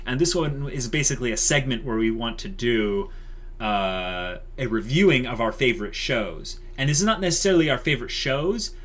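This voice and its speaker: A man's voice: mid-range voice, animated, moderate pace, a bit bright. A man hosting a channel, talking casually to viewers in a wordy, explanatory way full of qualifiers. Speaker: channel host.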